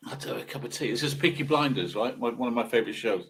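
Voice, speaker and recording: A man in his sixties talks in a medium-pitched voice. His monologue is casual and rambling, moving haltingly through musings and rhetorical questions. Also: fairly bright tone. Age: 60s. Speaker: video monologue host.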